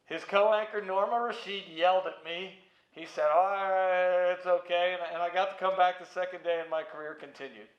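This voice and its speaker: High-voiced American man, echoey room. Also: fairly even intonation, moderate pace. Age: middle-aged. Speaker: museum president and CEO, interview guest. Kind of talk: casual interview answers. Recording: echoey room, slightly dull audio.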